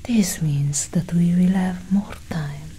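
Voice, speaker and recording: A young woman whispering breathily and deliberately in a soft ASMR roleplay as a calm alien scientist, close to the mic. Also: faint music behind. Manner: slow, deliberate, hushed. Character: calm, clinical female alien scientist examining a human. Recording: steady low engine-like hum.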